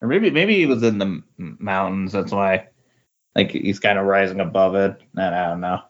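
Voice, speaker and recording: A man chatting casually on a comics podcast in a halting, tentative way, often saying "like" as he pieces thoughts together. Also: deep voice. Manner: halting, tentative, peppers speech with "like". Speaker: podcast co-host discussing comics. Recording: dull lo-fi voice recording.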